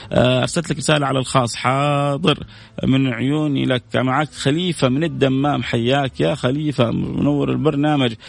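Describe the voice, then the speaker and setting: A man's voice: mid-range voice, gravelly. A man with a Hejazi Saudi accent hosting a live radio show, chatting casually with listeners and greeting them effusively with blessings as he repeats his phrases. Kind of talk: casual live chat with listeners, reading out messages.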